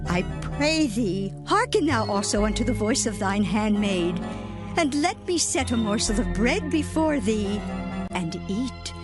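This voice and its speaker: A woman playing a humble, concerned handmaid in a scripture drama, entreating earnestly in archaic English. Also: fairly high pitch. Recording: music under the voice.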